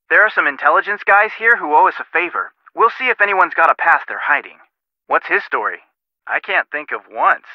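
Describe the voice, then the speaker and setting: An actor playing a helpful, wry young amateur detective giving earnest advice in a video game. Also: male voice, medium pitch, dry recording, dull audio, natural voice. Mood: advising, curious. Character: helpful, sharp young male amateur detective advising by phone.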